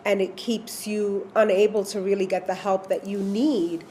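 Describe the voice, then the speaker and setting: Brief: woman, mid pitch, thoughtful and reflective, faint hiss behind her. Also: little pitch movement, normal speed, a little mellow. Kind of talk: reflective conversation.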